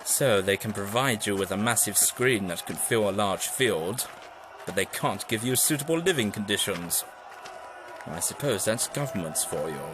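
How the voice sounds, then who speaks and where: An actor playing a wary, inquisitive time-travelling Doctor with dry irony and a halting, musing manner in an audio drama. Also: male voice, lowish voice, animated, background noise, natural voice. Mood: dryly indignant. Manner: halting, musing, with wry asides.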